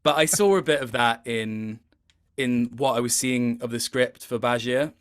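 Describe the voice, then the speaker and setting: A man's voice: mid-range voice, normal speed, clean tone. A man talking as a voice actor guest in a casual interview, telling anecdotes in a hesitant, rambling, appreciative way.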